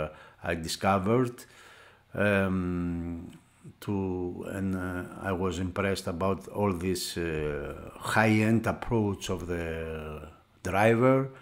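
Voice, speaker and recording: A man who is a non-native English speaker gives an unscripted hands-on product review, pausing often as he searches for words. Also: low voice. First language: not English. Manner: halting, searching for words, with frequent hesitations. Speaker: product reviewer for an audio magazine.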